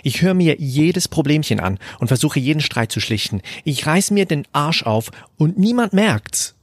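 A man's voice: fairly high pitch, big pitch swings, normal speed, dry recording, studio quality. A man acting as a stressed restaurant cook near breaking point, subdued and earnest, in a German audio drama. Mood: frustrated.

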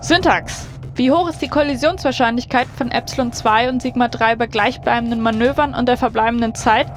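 A high female voice over music, an actress playing the captain of a space station. She gives brisk, terse orders with a dry, sardonic edge, in the style of a science fiction radio play. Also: fairly even intonation, lightly acted. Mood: tense, dry. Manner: brisk commands, dry sarcasm, swearing. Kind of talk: science fiction audio drama acting. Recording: music bed behind voice.

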